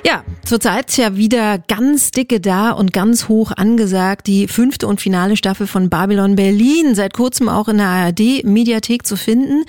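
A woman's voice: mid-range voice, moderate pace, hardly any pauses, a little mellow, dry recording. A woman hosting a radio show in a casual, colloquial and chatty way. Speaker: radio host.

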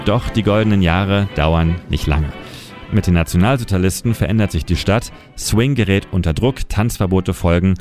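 A man reading a scripted documentary-style narration with a measured storytelling delivery. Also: low-pitched. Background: music bed.

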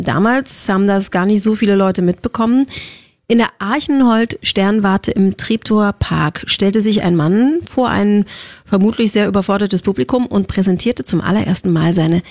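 A female radio presenter with delivers chatty moderation. Her voice has a noticeable creaky fry, and the sound is very dry and close. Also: mid-range voice, a little mellow, no echo. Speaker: radio host.

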